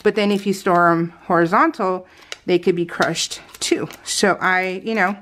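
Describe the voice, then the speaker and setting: A woman's voice: fairly low pitch, fairly even intonation, a little slow, a bit bright, slight background noise. A middle-aged woman chatting casually and giggly as she crafts, rambling in an unscripted, self-amused way like a hobby vlogger.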